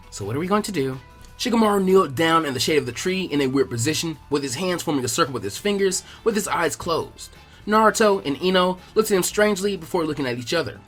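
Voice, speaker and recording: A man's voice: fairly high pitch, a bit bright. A man reading scripted fan fiction narration as a storyteller. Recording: music behind the voice.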